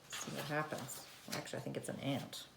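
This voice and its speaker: A woman's voice: extremely low pitch, level tone, quick pace, creaky. A woman teacher talking and reading a story to young children in simple, child-directed language, recorded indoors at home. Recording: roomy home recording with faint noise.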